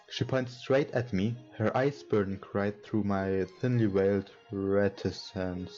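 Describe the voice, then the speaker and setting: A man hosting a let's play, reading game text aloud haltingly and adding casual commentary. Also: on the low side, darkish tone, dull audio. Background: game music.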